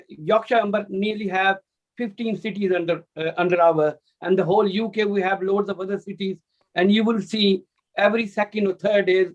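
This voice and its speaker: A man with a Pakistani accent speaks as an activist over a video call. His voice sits high, and the call audio is muffled and lo-fi. He talks earnestly, with loose grammar and many fillers. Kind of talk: informal speech.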